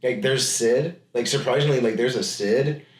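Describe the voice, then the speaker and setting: A man's voice: mid-range voice, normal speed. Man chatting casually in an echoey room. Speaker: podcast co-host. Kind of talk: casual comedic chat about movies.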